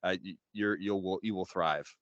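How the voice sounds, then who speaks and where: Smooth low-voiced man explaining things informally. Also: fairly even intonation, moderate pace, fairly bright tone, dry recording, muffled recording. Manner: informal, explanatory, frequent "you know". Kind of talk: casual business explanation.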